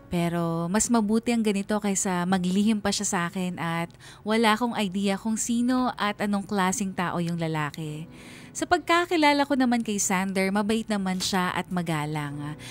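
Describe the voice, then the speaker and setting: A woman performs a middle-aged Filipina single mother telling her story in a radio drama. The voice is medium in pitch, earnest, weary and reflective. Soft music plays underneath. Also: lightly acted. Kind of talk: confessional radio drama narration.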